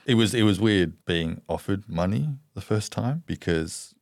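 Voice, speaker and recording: An Australian man with a strikingly deep, low voice and a gravelly, creaky edge. He speaks candidly as a podcast guest, stumbling and repeating words. The sound is dry and close, with no room echo. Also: animated, unhurried, darkish tone. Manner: hesitant, repeats words, many ums. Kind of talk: candid interview conversation.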